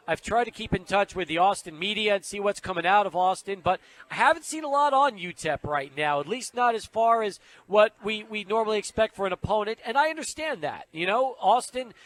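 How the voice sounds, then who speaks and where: A man's voice: high-pitched, a bit bright. A man hosting a sports radio show, chatty and conversational, building up to his questions with long run-on lead-ins. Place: radio studio.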